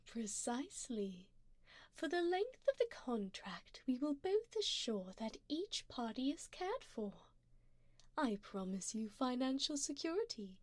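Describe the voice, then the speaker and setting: Slow, whispery seductive vampire lady voiced by a woman. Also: sits high, very animated, a little mellow, no echo, full character voice. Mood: composed, persuasive. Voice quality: breathy, often dropping into whispers. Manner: slow, intimate, formal and coaxing. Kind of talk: ASMR roleplay. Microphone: close binaural microphone.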